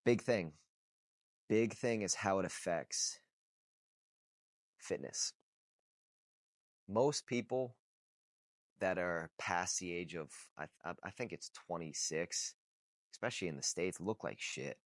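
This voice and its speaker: A man's voice: sits low, slow, long pauses, dry recording, clean studio sound. A man of about thirty talking casually and bluntly to camera, swearing freely and repeating phrases for emphasis. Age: 30s. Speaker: vlogger. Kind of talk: casual unscripted talk to camera.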